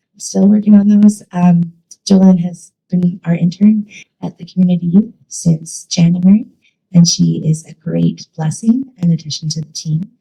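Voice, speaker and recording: A woman's voice: lowish voice, creaky, dark tone, breathy edge, poor audio. A woman leading youth ministry, giving a chatty, enthusiastic talk to a church with many fillers.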